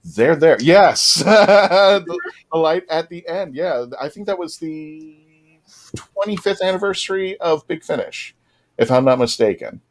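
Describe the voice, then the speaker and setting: A man's voice: a little high, expressive, unhurried. A man hosting a fan panel, talking casually to the audience and fellow panelists. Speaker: panel host.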